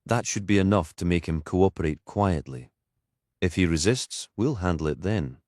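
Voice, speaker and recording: A man voicing a gruff, world-weary police detective in an audiobook, snappy, dry and authoritative. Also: deep voice, lively intonation, moderate pace, a little mellow, no echo. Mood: firm.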